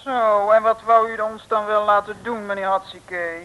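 An actress playing a curious, pert young detective's assistant in a 1960s radio serial, polite and questioning. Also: female voice, mid-range voice, fairly even intonation, normal speed, dull audio, lightly acted.

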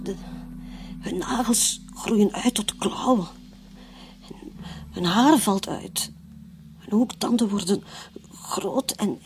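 A haunted, fearful wife, played by an actress in a radio play. She speaks very slowly and haltingly in a hush, over faint background noise. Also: mid-range voice, natural voice. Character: frightened wife haunted by a nightmare.

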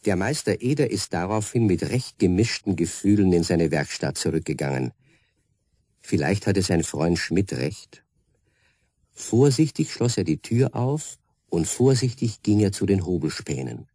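A man's voice: low-pitched, animated, a little slow, dark tone, slightly rough, no room sound, studio quality. A man narrating a scripted story as the narrator of a radio play. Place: dry studio.

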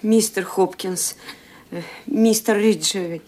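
An actress playing a dutiful, respectful housemaid in a detective radio play. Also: female voice, mid-range voice, steady tone, slow, darkish tone.

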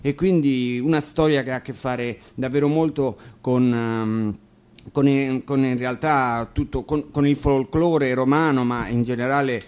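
A man informally introducing songs and telling stories to an audience, explaining things with hesitant filled pauses. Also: mid-range voice, fairly even intonation, darkish tone, clear, resonant tone. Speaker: musician introducing songs at a concert. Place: radio broadcast of an acoustic concert.

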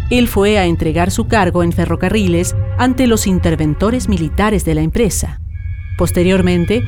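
A woman's voice: mid-range voice, a little mellow. A woman reading scripted narration for a historical radio drama. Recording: narration over clear music bed.

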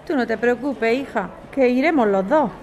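Over clear crowd chatter comes a woman acting as an older mother from a radio serial, speaking European Spanish. Her voice is on the high side, and she is resolute and affectionate. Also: lively intonation, moderate pace, natural voice. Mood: calm, assured. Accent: Spanish from Spain. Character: warm, resolute mother starting life with a companion.

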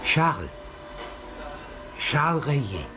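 A reserved blind man in a radio crime play. He speaks courteously, in a middle-pitched voice. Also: very animated, slow, loud music behind the voice. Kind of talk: radio crime drama dialogue.

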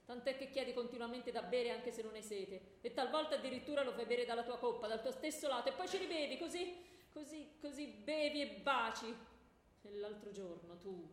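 A woman's voice: fairly high pitch, brisk, close to an everyday voice. A woman playing a jealous, scornful queen of the gods, scolding her husband sarcastically in a theatrical radio comedy. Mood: sarcastic. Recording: echoey hall with faint noise.

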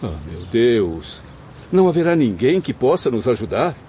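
An actor playing an anguished, restless male spirit in a radio serial, speaking in slow, plaintive, formal phrases. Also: mid-range voice, big pitch swings, mellow, natural voice. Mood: troubled, despondent. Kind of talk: dramatic radio serial acting. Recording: muffled audio with faint background noise.

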